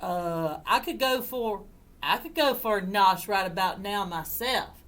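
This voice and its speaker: A woman voicing an excitable, slobbery, dim-witted male dog in a storybook, blustering and repeating himself. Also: medium pitch, a little slow. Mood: drooling, tempted.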